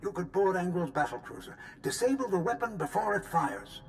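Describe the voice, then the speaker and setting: A man's voice: high voice, sing-song, fairly quick, slight background noise. A man playing a dry, pessimistic older scientist in a sci-fi video game, speaking precisely and analytically. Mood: grave, analytical. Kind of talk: dramatic video game acting.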